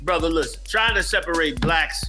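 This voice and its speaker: A man's voice: a little high, lively intonation, normal speed, over music. A man from the Bronx, ranting emphatically as co-host in a casual commentary chat. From the Bronx, New York. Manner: heated, emphatic, preachy.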